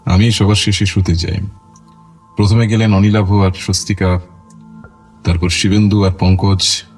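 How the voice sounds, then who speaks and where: An actor playing a proud veteran film star under suspicion, measured and self-assured but curt, in an audio drama. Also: male voice, deep voice, flat delivery, soft background music. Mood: measured recounting. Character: proud veteran film star, a controlled, irritated murder suspect. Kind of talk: Bengali audio drama acting.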